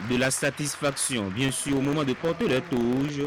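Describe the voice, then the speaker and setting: A man's voice: mid-range voice, fairly even intonation, normal speed, a little hiss or hum. A man reading a scripted report as a news reporter.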